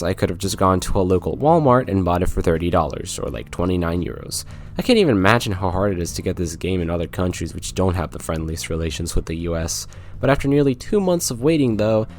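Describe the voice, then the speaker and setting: A man narrating a scripted video game review in a wry, sarcastic way. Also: on the low side.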